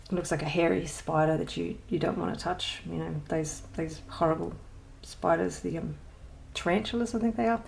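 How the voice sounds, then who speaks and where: Gravelly low-voiced woman, rambling chat. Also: fairly even intonation, normal speed, hardly any pauses, darkish tone, slight background noise, poor audio. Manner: rambling and hesitant, many ums and restarts; self-deprecating, chatty asides. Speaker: colouring channel host. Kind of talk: casual show-and-tell vlog.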